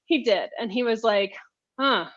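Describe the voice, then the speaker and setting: A woman with a fairly high voice talks casually as a guest author in a book chat. She is animated and talkative, telling stories as she goes. The sound is slightly dull, like a video call. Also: unhurried. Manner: chatty, animated storytelling, laughs often. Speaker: romance author being interviewed.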